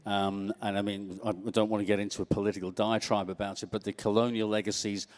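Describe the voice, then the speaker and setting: A man's voice: on the low side, little pitch movement, fast talker, long reverb tail. A middle-aged man with an English accent speaking earnestly on a panel. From England. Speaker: panelist, project founder. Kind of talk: panel discussion.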